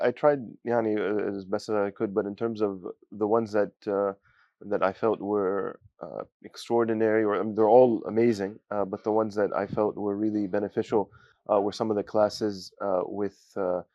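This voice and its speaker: A young man from giving a student testimonial, talking haltingly with many fillers and Arabic phrases. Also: lowish voice, steady tone, dry recording, highs cut off. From United States. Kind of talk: casual spoken testimonial.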